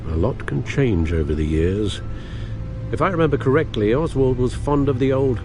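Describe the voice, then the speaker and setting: A man's voice: mid-range voice, animated, normal speed, music in the background, close to an everyday voice. An actor playing an older British butler in a video game, formal, dry and cautious in his manner. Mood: concerned.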